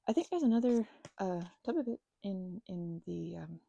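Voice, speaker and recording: A woman playing a chatty, grateful young housemate groggy from a migraine in an ASMR roleplay, speaking softly and haltingly in a breathy, husky voice. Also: mid-range voice, lively intonation, highs cut off. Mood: friendly, groggy. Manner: halting, rambling, trailing off mid-sentence.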